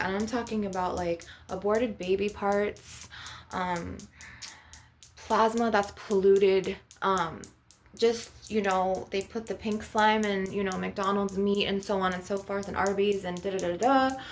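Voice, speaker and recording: A woman leads an informal ASMR spiritual session as an energy healer. Her mid-pitched voice has a lot of vocal fry, and she talks bluntly and emphatically in a rambling way. There is faint noise behind her.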